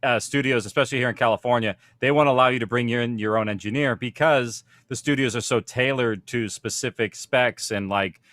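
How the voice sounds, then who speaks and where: A man's voice: mid-range voice, fairly quick, few pauses, fairly bright tone, dry recording, clean studio sound. A man working in audio production, explaining things casually in an informal panel discussion.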